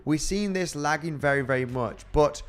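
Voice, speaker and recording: A man's voice: on the high side, normal speed, faint music behind. A man with a Spanish accent, a crypto trading host chatting casually on a livestream and reading out viewer comments in a rambling way. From Spain. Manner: rambling and chatty, with frequent fillers and laughs.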